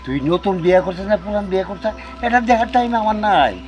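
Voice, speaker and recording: A man's voice: sits high, lively intonation, quick pace, highs cut off. A man playing a scheming, power-hungry sorcerer who serves a ghost, theatrical and colloquial, in an amateur horror film. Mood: menacing. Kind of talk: amateur horror-comedy short film acting.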